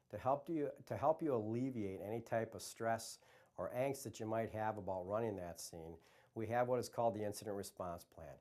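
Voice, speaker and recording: An American man giving an instructional presentation as a trainer, explaining in a halting way. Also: fairly low pitch, a little mellow. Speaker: EMS trainer.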